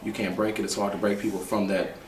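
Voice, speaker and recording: A man's voice: lowish voice, little pitch movement, quick pace, crowd noise. A man in his twenties giving long, earnest interview answers. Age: 20s. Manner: earnest, explaining at length. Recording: roomy echo from a distance with faint noise.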